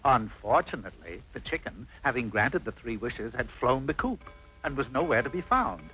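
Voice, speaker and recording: A man's voice: mid-range voice, big pitch swings, normal speed, pushed and bright, faint music behind, poor audio. A man playing an arch, theatrical storybook narrator, reading a comic fairy tale on an old cartoon soundtrack. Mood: mock-dramatic. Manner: arch, dramatic.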